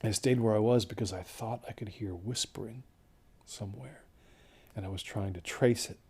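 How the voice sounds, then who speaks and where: A man acts out a delusional, wounded survivor in an audio horror drama. His voice is low and slightly husky. He recounts his ordeal in halting, detached phrases that often drop toward a hush. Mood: numb, detached. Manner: halting, detached, with hushed near-whispered phrases. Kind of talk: first-person audio horror drama monologue.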